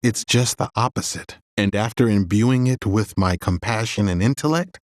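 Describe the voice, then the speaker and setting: An actor playing a big, gentle young man in a video game, earnest and a little hesitant. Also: fairly low pitch, normal speed, no room sound, studio quality, lightly acted. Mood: earnest, reflective.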